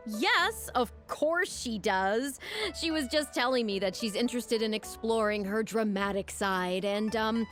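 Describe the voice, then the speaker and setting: A woman's voice: on the high side, a little slow, fairly bright tone, faint music behind. A woman voicing an anxious, easily exasperated young female opera troupe manager in a video game, flustered and pleading. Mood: flustered bluffing.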